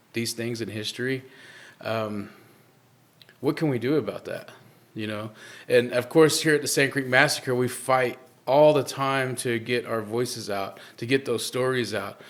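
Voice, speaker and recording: A man guest in a live interview, speaking earnestly in halting phrases full of fillers and restarts. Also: mid-range voice.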